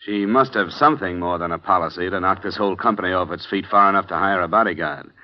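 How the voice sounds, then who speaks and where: A man's voice: low-pitched, fast talker, natural voice. An actor playing a hardboiled male insurance investigator in a 1950 radio drama, dry and sardonic. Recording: dull 1950 radio transcription with music.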